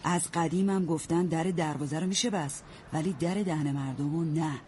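A woman's voice: sits low, mellow, close to an everyday voice. An actress playing a blunt, motherly older neighbor woman who talks in folksy sayings and bosses the young women around. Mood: grave. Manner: folksy, proverb-quoting, bossy. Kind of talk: radio drama acting. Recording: noisy radio-play recording.

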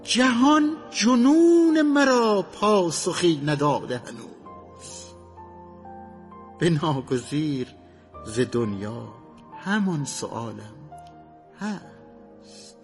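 A man reciting a poem slowly and dramatically, letting each line end fade into breath. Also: on the high side, big pitch swings, faint music behind. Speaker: poetry reciter. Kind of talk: slow dramatic poetry recitation over music.

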